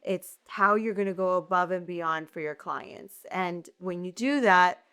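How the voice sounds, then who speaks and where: A woman's voice: on the low side, measured pace, pushed and bright, smooth. A woman co-hosting a real estate podcast, encouraging and affirming her guest. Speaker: podcast co-host. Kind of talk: casual interview.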